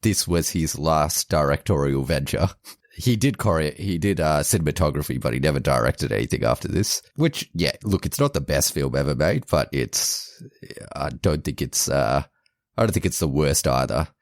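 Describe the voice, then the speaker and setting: A man's voice: a bass voice, lively intonation, moderate pace, no room sound, clean studio sound. A man co-hosting a podcast, chatting easily about movies with some hesitant restarts. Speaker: podcast co-host. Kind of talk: casual movie review chat.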